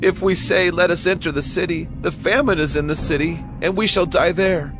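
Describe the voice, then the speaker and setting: A man acts out a starving leper in an audio Bible drama. The voice is fairly high, urgent and emphatic, with clear music behind it. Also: fairly even intonation, moderate pace, lightly acted. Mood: desperate, urgent. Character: desperate starving leper man reasoning with companions.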